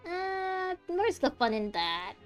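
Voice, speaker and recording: A woman's voice: very high voice, big pitch swings, slow pace. A woman gaming streamer giving casual playthrough commentary, chatting playfully with the game's characters. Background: game music.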